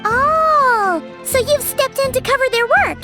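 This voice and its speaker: A woman voicing a tiny, excitable fairy companion who talks about herself in the third person, in video game dialogue. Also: extremely high pitch, unhurried. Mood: chirpy. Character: tiny floating fairy companion, chirpy, excitable, self-centered. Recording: game soundtrack music behind.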